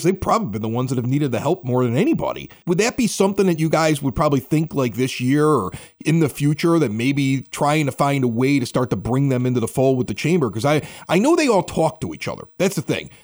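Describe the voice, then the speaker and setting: A man's voice: fairly high pitch, big pitch swings, fast talker, few pauses, darkish tone. A man hosting a podcast, asking long, talkative questions in an informal, conversational interview style. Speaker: podcast host.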